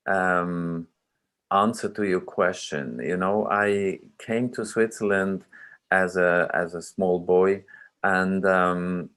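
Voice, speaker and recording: A man in his sixties, originally from Iraq, speaking as a film director at a remote panel, slow and halting with many fillers and restarts. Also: deep voice, fairly even intonation, a bit bright, clear, resonant tone.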